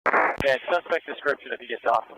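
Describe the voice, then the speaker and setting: A man's voice: medium pitch, a little fast, bright, forceful tone, poor audio. A man speaking over police radio as an air unit officer, giving terse, directive tactical callouts. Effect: police radio transmission.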